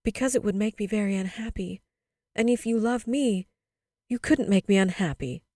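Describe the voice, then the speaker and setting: Lady reader doing a loving sad mum from a kids storybook, gentle n earnest, warm dark tone. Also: mid-range voice, normal speed, dry recording, clean studio sound, pitched above the performer's own voice, natural voice. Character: tender, sorrowful young mother of small children.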